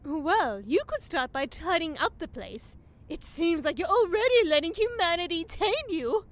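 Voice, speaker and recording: Casting: knowing forest fairy, extremely high and animated, prim, precise, theatrical. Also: female voice, normal speed. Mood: disapproving. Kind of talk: fantasy comedy audio drama acting.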